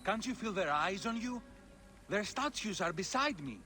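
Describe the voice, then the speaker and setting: High, bright, awestruck gladiator fan. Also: male voice, lively intonation, normal speed. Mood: reverent, excited. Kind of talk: video game cutscene acting.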